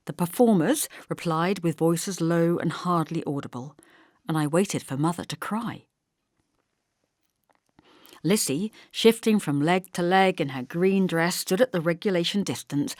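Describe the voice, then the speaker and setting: A woman narrating a literary novel as an audiobook, in a measured, expressive storytelling manner. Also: lowish voice, no echo, clean studio sound.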